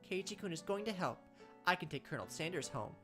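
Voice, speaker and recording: A let's play host voicing a cutesy, dreamy teenage schoolgirl from a visual novel, soft and lilting. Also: mid-range voice, animated, quick pace, faint music behind, pitched above the performer's own voice. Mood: dreamy, excited.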